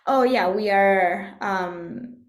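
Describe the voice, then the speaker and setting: A woman from Puerto Rico talks in a reflective interview, with a mid-range voice. She thinks as she goes, pausing and searching for the right phrase. The room around her adds a clear echo. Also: mid-range voice. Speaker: interview guest, composer and musician. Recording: echoey room sound.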